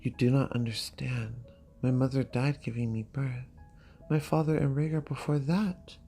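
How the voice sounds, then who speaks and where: A narrator playing a young princess, earnest and quietly resolute, in a measured dramatic fantasy audiobook reading. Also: mid-range voice, steady tone, soft background music, close to an everyday voice. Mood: sorrowful, earnest.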